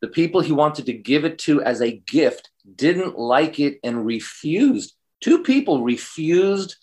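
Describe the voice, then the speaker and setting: A man speaking as an interview guest, giving earnest, deliberate and emphatic answers in a reflective way. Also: a little high, big pitch swings, darkish tone.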